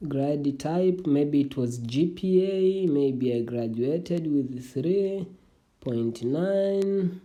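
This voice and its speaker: Very dark-voiced young Swahili-native speaker. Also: medium pitch, animated. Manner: halting, with frequent restarts, calls viewers "family". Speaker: tutorial vlogger. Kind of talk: casual screen walkthrough of an online application.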